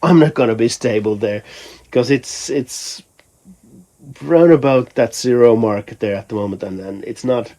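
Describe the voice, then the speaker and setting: A man's voice: medium pitch, unhurried, dark tone. A man from Finland, a musician, talking casually in a rambling, think-aloud way for a vlog. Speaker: musician vlogger.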